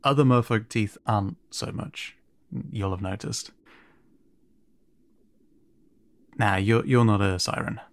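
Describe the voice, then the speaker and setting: A man playing a kind, awkward siren merman in an audio roleplay, speaking to the listener in a hesitant, stammering, gently encouraging way. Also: fairly low pitch, moderate pace, no room sound, natural voice. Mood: gentle, surprised. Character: kind, awkward male siren merfolk guiding a newcomer. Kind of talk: intimate audio roleplay drama, addressed to the listener.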